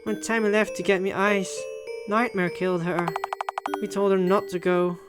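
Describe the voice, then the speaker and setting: A woman's voice: mid-range voice, fairly even intonation, moderate pace. A woman doing playthrough commentary and reading a visual novel story aloud, with game music behind her. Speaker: gaming YouTuber.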